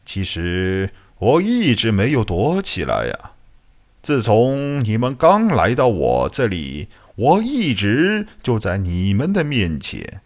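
A man voicing an ancient, calm and mysterious spirit of space in an audiobook, with a solemn, enigmatic manner. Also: lowish voice, slow, voice pushed down, exaggerated voice.

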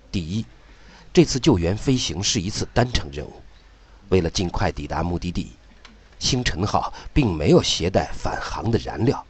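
Rescue commander played by a man: deep, husky, grave, formal and orderly, radio drama. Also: full character voice. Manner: formal, methodical briefing.

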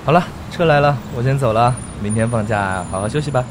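A man's voice: medium pitch, fast talker, background noise, natural voice. An actor playing an earnest, awkward young working man in an amateur radio drama, speaking colloquially. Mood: casual, friendly. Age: young adult.

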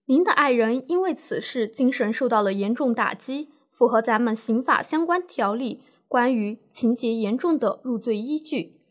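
Actress as female lawyer: fairly high voice, composed, reassuring, formal explanations, radio drama. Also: lively intonation, normal speed. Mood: explanatory, firm. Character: calm, reassuring female lawyer advising a client. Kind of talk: scripted legal-education radio drama.

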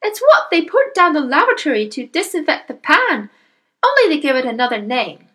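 A woman voicing a bossy, exasperated middle-aged mother in a children's audiobook, shrill and scolding. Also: very animated, moderate pace, sharp tone, heavily acted. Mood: scornful.

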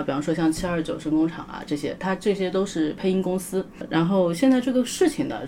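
A woman with a fairly low voice talks as a guest expert on a panel. She explains in measured, orderly steps, with faint noise in the recording. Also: darkish tone. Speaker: lawyer, podcast guest.

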